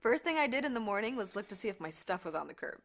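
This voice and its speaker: An actress playing a warm, teasing woman doctor in a naturalistic audio drama, talking casually and telling stories with animation. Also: medium pitch, very animated, fast. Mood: teasing, amused. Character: warm, teasing woman doctor, old flame, sheltering fugitives.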